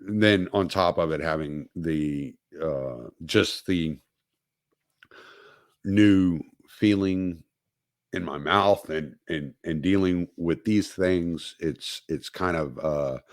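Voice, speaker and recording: A man with a very low voice talks slowly and haltingly on his own livestream, full of ums and restarts. He is recovering from dental work, and his speech is careful. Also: no echo, clean studio sound. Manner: hesitant, many fillers and restarts. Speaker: podcast host. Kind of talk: solo livestream talk.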